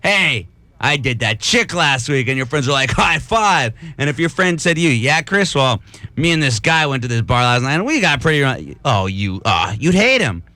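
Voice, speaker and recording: Bright-voiced man hosting late-night radio. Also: medium pitch, moderate pace, dry recording. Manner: rambling, animated, tells made-up anecdotes to make a point. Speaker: radio co-host. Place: radio studio. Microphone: studio microphone.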